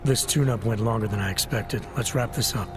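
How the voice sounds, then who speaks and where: An actor playing a stoic, brooding augmented agent in a video game, gravelly, terse and deadpan. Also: male voice, on the low side, a little fast, close to an everyday voice. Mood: terse, cool. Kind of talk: video game cutscene acting. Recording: loud music behind the voice.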